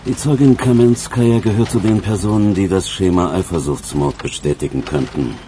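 Actor as a very deep, dry police investigator. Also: male voice, brisk, warm tone, slight background noise, natural voice. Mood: dry, wry. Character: wry, provincial male police investigator. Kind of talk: radio play acting.

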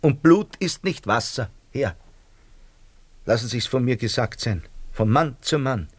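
An actor playing a middle-aged, self-pitying, fawning Austrian shopkeeper in a radio drama, rambling and theatrical with an Austrian accent. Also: male voice, mid-range voice, animated, a little slow, husky, no room sound. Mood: insistent, wheedling.

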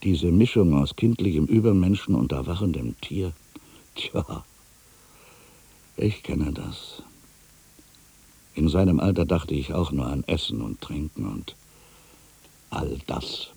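Man acting a brooding, deep, gravelly ageing writer. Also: animated, slow pace, long pauses, full character voice. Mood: weary, brooding. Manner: brooding, theatrical, from hushed muttering to agitated outbursts. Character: bitter, anxious ageing male writer thinking aloud. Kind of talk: dramatic first-person monologue, radio play.

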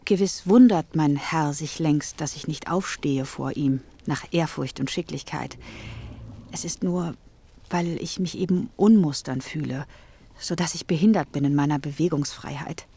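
A woman's voice: low-pitched, unhurried, warm tone, slightly rough, heavily acted. An actress playing a demure young woman who feigns feeling unwell, polite and formal, in a literary radio drama. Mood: demure, apologetic. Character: demure young woman feigning illness before her father.

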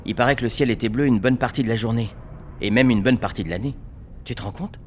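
An actor playing a naive, dreamy young conscript with a casual, slangy way of talking in an audio drama. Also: male voice, mid-range voice, fast talker, a little hiss or hum, lightly acted. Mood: wistful.

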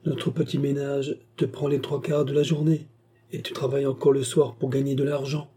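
A gentle young gentlewoman from a storybook, read by a man, caressing and coaxing in manner. The voice is very mellow and slightly husky, affectionate but quietly determined. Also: medium pitch, level tone, moderate pace, voice pushed up, natural voice. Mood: tender, coaxing. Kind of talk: audiobook character dialogue.

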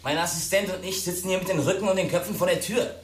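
An actor playing a brash, mocking teenage school bully in a radio drama, talking in slangy taunts. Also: male voice, high-pitched, fast talker, a little hiss or hum, close to an everyday voice. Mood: cocky. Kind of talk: modern radio drama acting.

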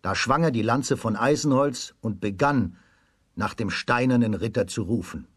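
Male narrator, children's fairy tale, measured, deep-leaning, husky, very dry sound. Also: a bit bright, no room sound. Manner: measured storytelling.

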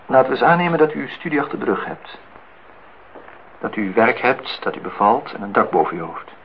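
A man's voice: medium pitch, very animated, a little fast. Wry, probing man, muffled recording. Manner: dry, teasing. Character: wry, probing man questioning a young woman. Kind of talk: Dutch radio play acting. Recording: dull, noisy old radio recording.

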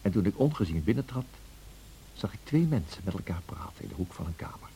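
An actor playing a refined gentleman who recounts his memories in a measured, formal radio play narration. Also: lowish voice, very animated, a little hiss or hum. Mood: composed, reflective.

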